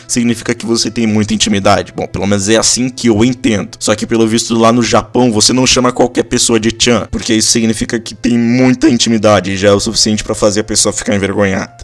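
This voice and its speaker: A Brazilian man giving a casual, conversational anime episode recap and commentary in an informal way. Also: medium pitch. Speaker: anime reviewer.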